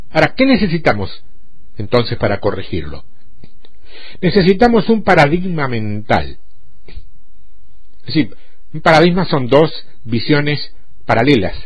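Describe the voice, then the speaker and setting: A man's voice: fairly high pitch, breathy edge. A man from Argentina with an Argentine accent, giving a conversational podcast sermon and speaking directly to the listener. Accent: Argentine Spanish. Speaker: preacher.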